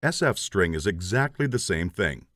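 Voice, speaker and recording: Lively low-voiced man teaching code. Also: normal speed, dry recording, studio quality. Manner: methodical, explains step by step. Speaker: programming instructor. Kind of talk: scripted tutorial narration.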